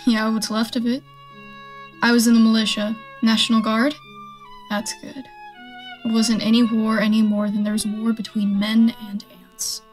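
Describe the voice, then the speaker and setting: A woman's voice: fairly high pitch, little pitch movement, moderate pace, soft background music, natural voice. A woman playing a defiant, survival-minded militia stranger in a radio play, talking in a blunt, folksy, speechifying way. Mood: grim, resigned. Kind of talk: radio drama acting.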